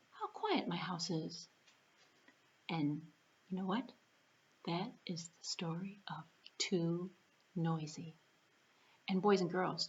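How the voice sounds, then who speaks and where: A woman presenting a children's storytime, speaking to young children in simple, deliberate phrases. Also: lowish voice. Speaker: children's library puppet show presenter. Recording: dull lo-fi sound, faint music.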